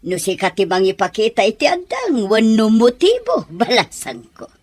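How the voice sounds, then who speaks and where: A woman playing a gentle aging mother. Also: fairly high pitch, sing-song, moderate pace, dry recording. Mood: chatty. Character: aging mother, gentle, eager to see son wed. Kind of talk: audio drama acting.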